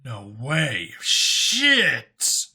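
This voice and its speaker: Guy as a human cop in an audiobook, blunt, sweary, casual, sharp bright voice, normal pitch. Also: huge pitch swings, drawn-out words, breathy edge, full character voice. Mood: awed. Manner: casual, hesitant, plainspoken. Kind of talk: audiobook character acting.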